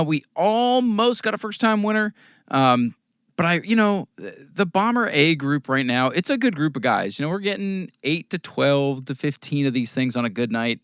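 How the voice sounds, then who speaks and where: An American man with a voice worn hoarse, casually recapping local short-track races as a podcast host, rambling through results with fillers. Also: mid-range voice, a bit bright, dry recording, clean studio sound. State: losing his voice, coughing at times. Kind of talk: casual race recap talk.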